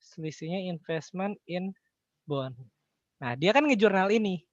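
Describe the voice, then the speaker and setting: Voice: medium pitch, animated, a little slow, no room sound, dull audio. A tutor with an Indonesian accent explains things step by step in an informal, colloquial way, often posing questions and answering them. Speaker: accounting tutor.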